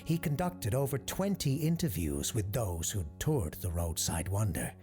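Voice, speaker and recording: A man reading scripted, eerie documentary-style narration in a measured, deliberate way with dramatic pauses. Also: fairly low pitch, very animated, mellow, soft background music. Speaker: host and narrator.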